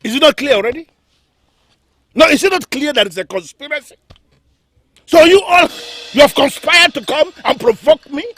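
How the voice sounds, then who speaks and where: An actor playing a hot-tempered, domineering king who shouts indignant accusations. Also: very high voice, lively intonation, a little fast, soft background music, heavily acted.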